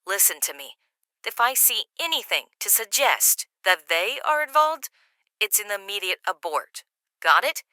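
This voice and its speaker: A woman's voice: mid-range voice, sing-song, a little slow, bright, forceful tone, no echo, studio quality. A woman playing a hard, exasperated, foul-mouthed handler in an audio drama, stern and terse but reluctantly helpful.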